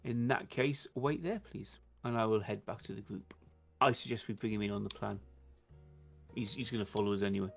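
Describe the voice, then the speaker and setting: A man playing a wary, diplomatic male vampire who leads his group in a tabletop game, speaking in a measured, formal way. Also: lowish voice, gravelly. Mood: wary, firm. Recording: slightly dull audio, music behind.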